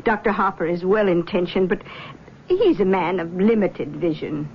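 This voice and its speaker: A woman's voice: fairly low pitch, moderate pace, natural voice. An actress playing a prying, controlling middle-aged mother in a vintage radio drama, pointed and insistent. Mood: exasperated. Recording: dull, noisy 1970s radio recording.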